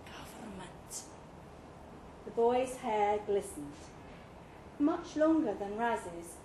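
A woman narrates a short story live in an echoey pub room. Her voice is high and her phrasing slow and measured, with clear noise behind her. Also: warm tone. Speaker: story reader. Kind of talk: scripted literary narration, read live. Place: indoor live reading event in a pub.

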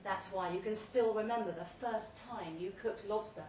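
A woman reads a short story aloud at a live event, delivering it theatrically in measured, deliberate phrases. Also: medium pitch, a little mellow. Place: pub event room, read aloud live. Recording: roomy, distant live recording with faint noise.

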